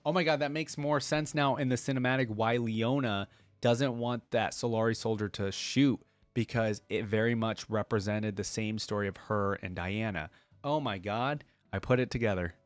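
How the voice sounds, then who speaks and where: A man hosting a casual reaction video, reading text aloud and thinking out loud with offhand asides. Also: lowish voice, normal speed, no echo.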